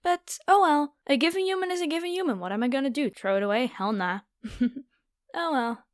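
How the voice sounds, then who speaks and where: A woman's voice: high-pitched, expressive, fairly quick, no room sound, studio quality. A woman playing a haughty, predatory noble vampire girl in an ASMR roleplay, with a taunting, playful, theatrical delivery. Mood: mocking, domineering.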